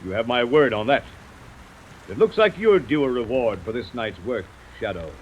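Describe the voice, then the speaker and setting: Actor as a lively, authoritative police commissioner. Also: male voice, medium pitch, normal speed, close to an everyday voice. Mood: earnest. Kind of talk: 1930s radio drama acting. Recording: noisy vintage radio recording.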